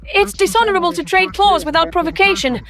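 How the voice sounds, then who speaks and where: A woman's voice: very high voice, fairly quick, a bit bright. A woman voicing a young female reptilian alien in a sci-fi story, reasonable and conciliatory, speaking politely. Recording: music under the voice.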